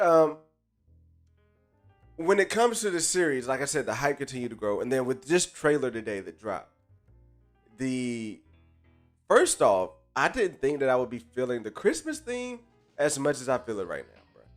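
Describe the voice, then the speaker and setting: Man, stuffy nose, under the weather, hyped slangy live-stream host, mid-pitched voice, faint jazz behind. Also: very animated, moderate pace. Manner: hyped, slangy, greets chatters by name. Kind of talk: casual live-stream chat. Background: faint live jazz music.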